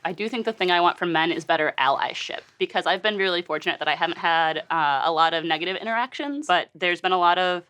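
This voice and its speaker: A woman's voice: fairly low pitch, a bit bright. A young woman speaking thoughtfully and articulately in a candid conversation. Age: young adult. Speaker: interviewee.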